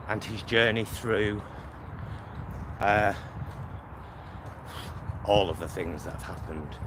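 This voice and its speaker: Slow-talking man outdoors. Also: on the low side. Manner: rambling, thinking aloud in short phrases. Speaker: vlogger. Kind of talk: casual chat while walking outdoors. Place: outdoors on a walk near motorway and lake. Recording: outdoor noise, traffic and ambience.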